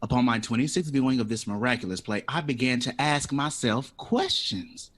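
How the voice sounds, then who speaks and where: An actor in an audio drama playing a witty, dreamy jazz composer who talks with playful, dramatic flourishes. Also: male voice, mid-range voice, normal speed, a bit bright, lightly acted. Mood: theatrical, eager. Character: witty, dreamy, theatrical male jazz composer, eager for independence.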